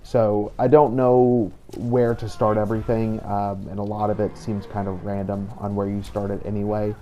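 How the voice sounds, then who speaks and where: A man's voice: on the low side, level tone, moderate pace, dark tone, highs cut off. A man hosting a gaming channel, giving a casual, explanatory spoken introduction to a playthrough with a somewhat hesitant delivery. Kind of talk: casual gameplay introduction commentary. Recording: noticeable background noise.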